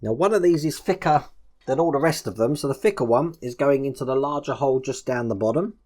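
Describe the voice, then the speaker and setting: Male, medium pitch. Informal hobby tutorial presenter, explaining each hands-on step while working. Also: fast, a little mellow. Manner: informal, narrating actions as he works. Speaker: presenter of a model-building tutorial. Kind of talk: hands-on step-by-step instructional commentary.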